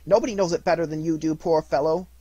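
A man voicing an indignant, sharp-tongued poor mother in a raised, husky voice. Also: a little high, fairly even intonation, quick pace, no echo, highs cut off, voice pushed up, natural voice. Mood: scornful. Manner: bitter, scornful.

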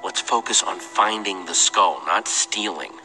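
A man's voice: low voice, a little slow, bright, forceful tone, big-room sound, faint music behind, full character voice, poor audio. An actor playing a pragmatic, wry young male adventurer and leader in a video game. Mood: wry, focused.

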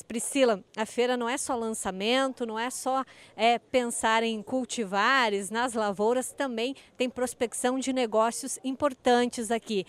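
A woman, reporting for TV news as a field reporter. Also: a little high. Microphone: handheld microphone.

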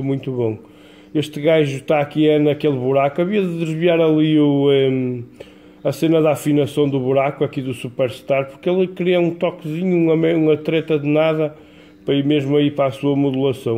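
A man with a European Portuguese accent chatting casually as an amateur radio operator, in a colloquial, halting way with repeated words. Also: mid-range voice, mellow. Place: indoors at a home radio desk.

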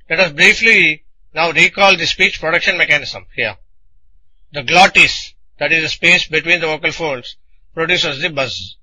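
A man with a very bright, sharp voice, pitched a little high, delivers a scripted technical lecture. He speaks deliberately and didactically in short, measured phrases. The recording is dry and close. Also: breathy edge, dry recording, poor audio.

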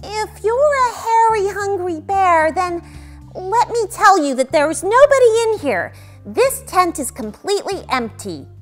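A hesitant, polite little boy from a storybook, put on by a woman. His voice is pitched high and jumps about with expression, earnest and nervous, over soft music. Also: very animated, takes it slowly, full character voice. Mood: frightened.

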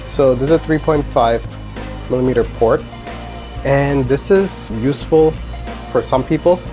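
Man, mid-pitched voice, conversational gear reviewer, casual delivery, instrumental music underneath. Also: unhurried. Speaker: gear reviewer, indie filmmaker. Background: instrumental music with guitar.

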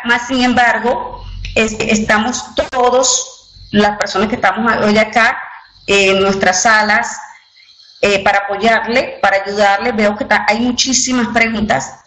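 A woman's voice: mid-range voice, a bit bright. A woman presenting at an online company event, courteous and formal, with filler words. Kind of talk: webinar presentation. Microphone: computer mic on a web conference. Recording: roomy web conference audio, faint noise.

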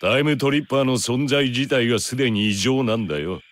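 An actor playing a gruff, curt bounty hunter in a Japanese anime game, speaking in blunt, rough words. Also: male voice, medium pitch, fairly quick, lightly acted. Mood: grave, matter-of-fact.

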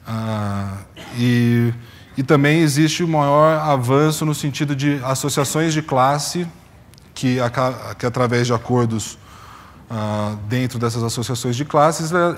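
A man's voice: mid-range voice, long reverb tail. A man in his thirties from Brazil giving a conference presentation on a stage, explaining with frequent fillers and restarts into a lapel mic. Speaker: conference speaker. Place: conference stage in a large hall.

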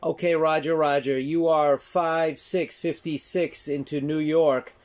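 A man's voice: fairly high pitch, fairly even intonation, measured pace, a little mellow, no echo. A man who hosts an amateur radio channel, talking casually as he narrates and makes radio contacts. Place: small indoor radio desk at home. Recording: noisy room with radio static.

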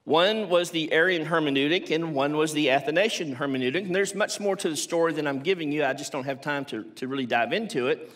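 An older man lectures on theology from a stage podium in a big auditorium. His voice sits a little higher than most men's. He breaks from his script now and then for asides and rhetorical questions. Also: fast talker, few pauses, a bit bright. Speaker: theology professor giving a conference talk. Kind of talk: academic lecture at a church conference. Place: stage of a large auditorium with a seated audience. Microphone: podium microphone.

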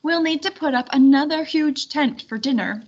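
A woman voicing a hearty, eager medieval knight in a children's storybook, brisk and exclaiming. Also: high-pitched, lo-fi sound, pitched above the performer's own voice, lightly acted.

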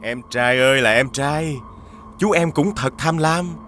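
Scheming, fairly high-voiced elder prince. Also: male voice, measured pace, fairly bright tone, slight background noise. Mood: mocking. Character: scheming, mocking elder prince, greedy and treacherous. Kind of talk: dubbed animated fairy tale.

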